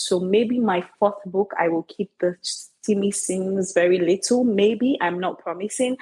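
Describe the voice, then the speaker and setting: Animated husky Nigerian woman. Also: medium pitch, rough recording. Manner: lively, playful, emphatic. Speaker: author guest. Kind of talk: animated podcast interview.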